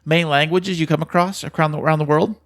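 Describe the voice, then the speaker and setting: A man's voice: fairly high pitch, steady tone, fast. A man co-hosts a casual podcast, asking curious questions and cracking jokes with a few hesitant fillers along the way. His voice is dry and close-miked, with no echo around it. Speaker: podcast co-host.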